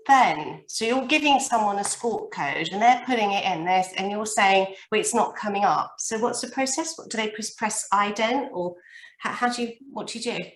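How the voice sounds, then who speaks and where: A woman's voice: mid-range voice, lively intonation, normal speed. Lady student chatting n asking stuff, bit hesitant, super roomy, far from mic. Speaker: student helicopter pilot. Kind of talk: casual question in a group class. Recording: echoey room, distant from mic.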